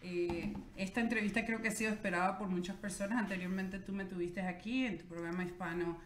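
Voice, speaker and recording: Host: middle-aged woman, mid-pitched, formal hosting tone, roomy echo. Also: fairly even intonation, fairly quick, a little hiss or hum. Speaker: talk show host. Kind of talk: interview hosting. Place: small TV studio with backdrop. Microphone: studio mic on stand.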